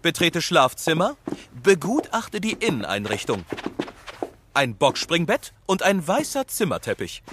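A man's voice: a little high, huge pitch swings, a little slow, noisy background. A man playing a dutiful SWAT officer in a children's audio drama, reporting his own actions literally in military jargon.

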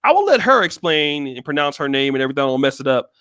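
A man hosting a video call interview, relaxed and hesitant, often restarting his questions. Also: fairly high pitch, quick pace, smooth, no room sound, clean studio sound. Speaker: host. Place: small room indoors. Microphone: headset and studio mic.

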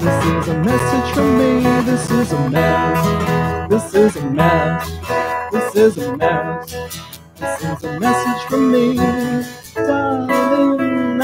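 A woman's voice: fairly high pitch, poor audio. A woman singing folk songs live on a covered outdoor stage at a stand microphone, with guitar. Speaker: singer with guitar. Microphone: stand microphone, wide camera shot.

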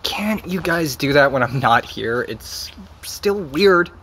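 A man's voice: mid-range voice, animated, takes it slowly, pushed and bright, full character voice. A dry, defensive American teenage boy. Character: defensive, sardonic seventeen-year-old boy. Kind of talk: naturalistic audio drama acting. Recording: clear background ambient noise.

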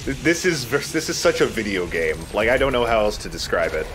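Low-voiced man doing gameplay commentary. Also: sing-song, a little fast, background noise. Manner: explanatory, conversational, swears casually. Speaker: let's play host.

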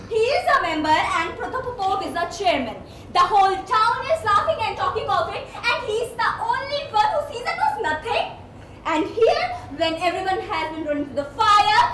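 A woman's voice: very high voice, fairly bright tone, full character voice. An actress playing a weary, despairing young woman of twenty-three who speaks through tears. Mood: bitter. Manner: tearful, anguished outbursts. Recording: echoey stage audio, faint hum.

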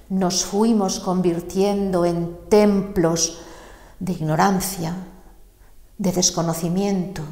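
Older woman declaiming poetry, echoey room. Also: mid-range voice. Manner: declamatory, emphatic pauses. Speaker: guest poet. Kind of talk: dramatic poetry reading, then studio chat. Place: small TV studio set. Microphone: lapel. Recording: echoey studio with long reverb.

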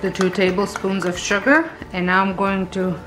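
A woman hosting a home baking video, talking through each step in a relaxed, chatty way with small self-corrections. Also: medium pitch, normal speed, music in the background. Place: home kitchen.

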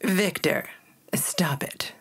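A woman playing a calm, doting mother in an audio drama, soothing and deliberate in manner. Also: low voice, gravelly, breathy edge, in a whisper, full character voice. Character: calm, doting mother of a teenage monster girl. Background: music.

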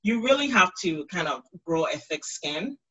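Bright-voiced woman host on webcam. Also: fairly low pitch, big pitch swings, normal speed, husky, poor audio. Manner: warm affirming interviewer, many mm-hmms. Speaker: interviewer, show host. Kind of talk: casual video-call interview. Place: room at home. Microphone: video call webcam.

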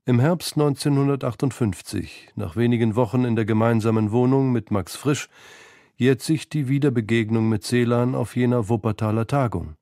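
A man's voice: medium pitch, normal speed, warm tone, no echo, studio quality. A man reading scripted narration for a radio feature about literature. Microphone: close studio microphone.

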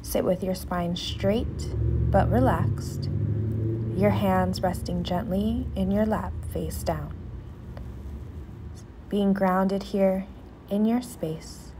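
A woman leading a guided meditation in a soft, soothing, often near-whispered voice with pauses. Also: mid-range voice, background noise.

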